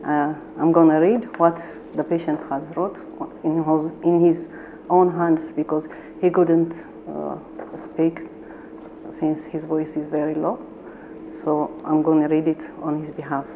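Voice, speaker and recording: A middle-aged woman from Ethiopia, the patient's wife, reading a handwritten letter aloud slowly and carefully, with halting pauses, seated in a small indoor room. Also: low-pitched, little pitch movement, mellow, lo-fi sound, a little hiss or hum.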